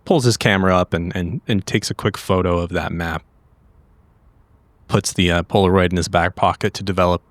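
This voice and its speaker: A man's voice: sits low, steady tone, normal speed, no echo. A man in casual improvised roleplay at a tabletop game, hesitant and repeating his words. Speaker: player in a tabletop game podcast.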